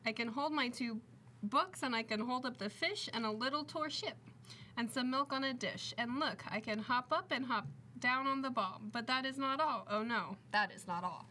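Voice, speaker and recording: Woman reading as a confident, high-voiced storybook cat. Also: moderate pace, sharp tone, a little hiss or hum, poor audio. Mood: showing off.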